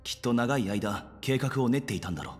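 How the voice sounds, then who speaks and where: An actor voicing a level-headed young man speaking with steady urgency. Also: mid-range voice, a little fast, lightly acted. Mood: serious. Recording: reverberant game audio with music.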